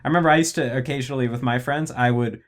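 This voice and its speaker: Man, mid-pitched voice, relaxed host, thoughtful, casual chat. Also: rapid-fire. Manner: relaxed, thoughtful, some "um" and restarts. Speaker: host, interviewer.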